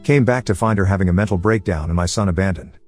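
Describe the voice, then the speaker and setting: A man narrating a forum post in the first person as a scripted story, with a brisk, steady reading manner. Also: low voice, faint music behind.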